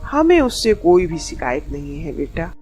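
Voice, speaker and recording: A woman's voice: sits low, big pitch swings, normal speed, warm tone, soft background music. A woman playing a trusting, doting older mother-in-law in a Hindi moral story. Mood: trusting, content.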